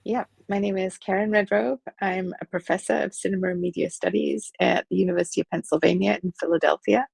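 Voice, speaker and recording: A woman in her fifties, from Liverpool, answering interview questions thoughtfully with frequent pauses and fillers over a video call. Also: mid-range voice, fairly even intonation, creaky, darkish tone, breathy edge, no room sound. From England, Liverpool. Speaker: film studies professor, guest.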